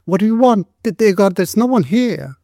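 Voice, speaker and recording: Storybook role: meek young vagrant mother played by a man, high shrill voice, halting and frightened, very dry recording. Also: very animated, dark tone, dry recording, full character voice. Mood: terrified. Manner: stammering, halting.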